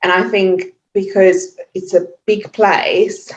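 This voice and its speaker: A woman from Hungary in her forties, speaking English with a Hungarian accent, reflecting thoughtfully with pauses and fillers as a podcast guest. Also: mid-range voice, flat delivery. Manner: thoughtful, hesitant, many fillers and restarts. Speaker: podcast guest, yoga teacher. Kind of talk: reflective interview talk. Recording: echoey, muffled remote audio.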